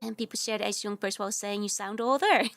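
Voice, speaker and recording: A woman's voice: mid-range voice, quick pace, vocal fry, fairly bright tone, dry recording. A young woman with a Dutch accent chatting casually on a livestream, giggly and rambling with lots of fillers. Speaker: VTuber streamer.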